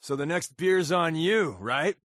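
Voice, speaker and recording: An actor playing a wry, sarcastic secret agent companion in a post-apocalyptic video game, with a deadpan, wisecracking manner. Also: male voice, a little high, unhurried, dry recording, studio quality. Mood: wry, joking.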